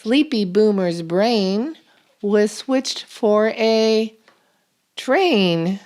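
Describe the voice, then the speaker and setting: A woman reads a children's storybook aloud at a very slow, deliberate pace. Her mid-pitched voice moves expressively up and down, and she stretches out the important words. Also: expressive, darkish tone. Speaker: storytime reader and channel host. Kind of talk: children's picture book read-aloud.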